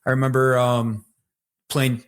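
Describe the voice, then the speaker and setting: A man's voice: mid-range voice, monotone, unhurried, smooth. Rambling man with a Michigan accent. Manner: rambling, many fillers and restarts. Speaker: sales leader, podcast guest. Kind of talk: casual interview conversation.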